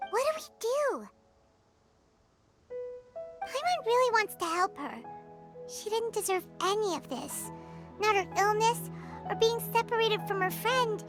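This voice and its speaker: A woman voicing a tiny, childlike fairy companion in a video game, squeaky, excitable and earnest. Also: slow pace, long pauses, music in the background, exaggerated voice. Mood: distressed, pleading. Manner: refers to self in third person, squeaky, earnest. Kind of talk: English video game voice acting.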